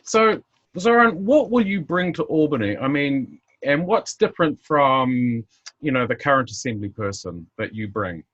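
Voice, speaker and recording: Male interviewer, mid-pitched. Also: lively intonation, moderate pace, fairly bright tone, muffled recording. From outside the United States. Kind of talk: conversational interview.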